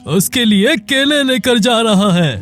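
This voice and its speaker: Male actor as needling cartoon villager: sceptical, mocking, slow, over background music. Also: very high-pitched, expressive, fairly bright tone, heavily acted. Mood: taunting. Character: taunting, sceptical village man.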